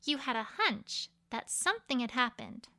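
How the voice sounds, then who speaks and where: Woman voicing a bright, high-pitched anxious young unicorn. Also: big pitch swings, normal speed, exaggerated voice. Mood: uneasy. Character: anxious young female unicorn, guilty and earnest. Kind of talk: acted audio story dialogue.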